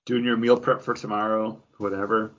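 A man's voice: on the low side, flat delivery, moderate pace, fairly bright tone. A man hosting a podcast, steering the discussion in casual conversation. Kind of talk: casual chat. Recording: dull, lo-fi podcast audio.

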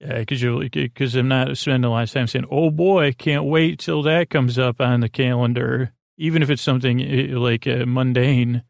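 Creaky-voiced man rambling on a sleep podcast. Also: medium pitch, fairly even intonation, no echo, clean studio sound. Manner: meandering, goofy, halting with tangents. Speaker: podcast host. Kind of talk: rambling bedtime monologue introducing a sleep podcast.

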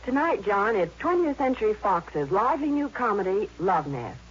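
A woman's voice: mid-range voice, sing-song, normal speed, a little hiss or hum. An American woman reading scripted movie news in a 1950s radio promotional style. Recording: dull vintage 1950s radio recording.

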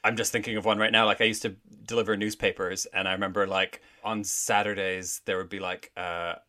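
A man's voice: lowish voice, little pitch movement, a little fast, bright, forceful tone. A man from Canada, a drag performer, chatting casually as a guest on a podcast, full of "like" and "I think". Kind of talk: casual interview chat.

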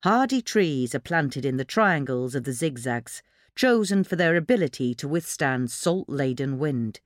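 A woman reading a scripted magazine feature aloud in a measured, expressive storytelling way. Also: very deep voice, dry recording, studio quality. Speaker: narrator reading a magazine article. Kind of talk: scripted narration of a gardening feature.

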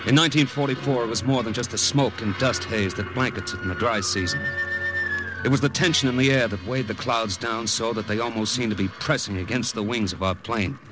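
Male actor as tense American secret agent, low voice, clipped dramatic delivery, vintage radio drama with loud music. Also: fairly quick, close to an everyday voice, low-quality recording. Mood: grim, tense. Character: tense American male wartime agent recounting his jungle mission. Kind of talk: 1950s radio drama acting.

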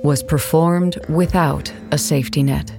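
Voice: medium pitch, fairly even intonation, measured pace, over music, close to an everyday voice. A narrator reading a scripted documentary account for an audio play in a formal, factual manner.

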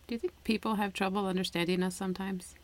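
A woman in a relaxed casual conversation who thinks aloud and laughs lightly. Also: medium pitch, fast talker, background noise. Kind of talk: casual chat.

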